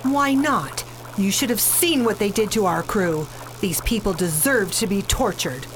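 A woman's voice: medium pitch, lively intonation, a little slow, noisy background, natural voice. A woman playing a vengeful, proud alien survivor in a space opera radio drama, speaking in a hard, declamatory way. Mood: bitter, vengeful.

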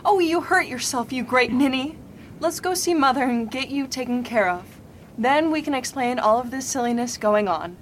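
Woman as an earnest, high-voiced young woman. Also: moderate pace, close to an everyday voice. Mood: concerned, fond. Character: earnest, protective young woman, fond and scolding. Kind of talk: radio mystery acting. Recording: faint rumbling background noise.

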